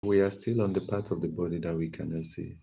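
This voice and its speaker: Male live-stream teacher, low mellow voice, quizzes viewers by name. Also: steady tone, fast, gravelly, a little hiss or hum. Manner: calls on viewers by name and reads their comments. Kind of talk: interactive live lesson.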